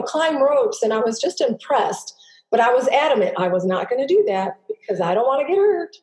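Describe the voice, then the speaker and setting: A middle-aged woman, a native Greek speaker, telling her personal story as a podcast guest in an earnest, halting way with many restarts. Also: on the high side, a little mellow. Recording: roomy echo.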